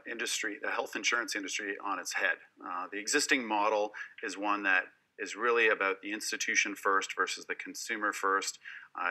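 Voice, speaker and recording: A man, a company founder, explaining his business on a panel in a polished, pitch-like way. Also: sharp tone. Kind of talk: panel discussion.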